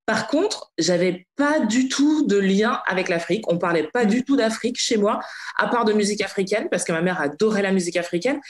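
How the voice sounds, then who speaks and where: A woman's voice: medium pitch, lively intonation, fairly quick. A woman, speaking conversationally as a podcast host. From France, Paris suburbs. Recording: roomy, echoey sound.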